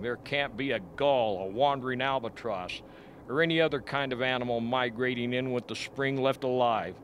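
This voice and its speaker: Guy playing a grim scientist doc in a radio drama, explains stuff slowly and plainly, bit of noise behind. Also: mid-range voice, fairly bright tone, natural voice. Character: grim, frank male scientist doctor at a polar outpost. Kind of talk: amateur radio play acting.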